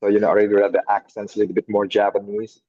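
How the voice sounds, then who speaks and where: Husky, low Indonesian-accented man. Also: monotone, mellow, no echo. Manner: halting, hesitant English. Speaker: webinar host. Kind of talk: moderating a webinar. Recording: dull, lo-fi dry sound.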